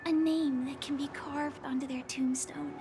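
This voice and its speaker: A woman voicing a gentle, wistful young woman warrior in a video game, speaking solemnly and often in a hushed, breathy tone. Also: sits high, steady tone, normal speed. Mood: solemn, resigned. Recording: loud music behind the voice.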